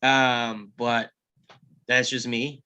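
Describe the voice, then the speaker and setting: A man's voice: medium pitch, level tone, drawn-out words, sharp tone, smooth. A man in casual discussion, talking in a rambling, hedging way peppered with "you know". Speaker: table-read panelist.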